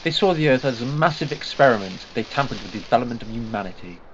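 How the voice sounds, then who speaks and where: A man's voice: mid-range voice, animated, fast talker, noisy background, lightly acted. A man playing a wry, eccentric time traveller who explains ancient threats. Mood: explaining. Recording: lo-fi fan audio drama.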